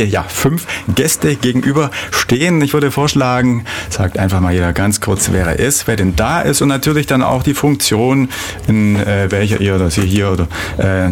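A man as laid-back German radio host, low voice. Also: a little hiss or hum. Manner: relaxed, hesitant, searching for words. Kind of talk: local radio talk show.

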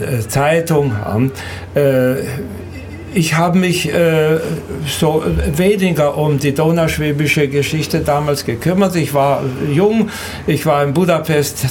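An elderly man who grew up in Serbia and Hungary, recounting his life in an interview in a halting, hesitant way with repeated words. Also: fairly high pitch, a little mellow, slight background noise. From Danube Swabian from Serbia and Hungary. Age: 70s or older. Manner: halting, hesitant, repeats words, often ends with a questioning tag.

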